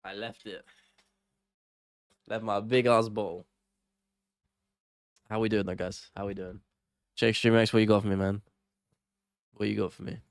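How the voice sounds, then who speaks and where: A young man with a cold hosts a relaxed live stream, his nasal, gravelly voice sitting fairly low. He chats loosely with viewers, apologizing and thinking out loud. The sound is dry and close, with no room around it. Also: measured pace, pauses often, no room sound. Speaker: MMA live-stream host. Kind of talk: casual live stream chat, reading out viewer comments.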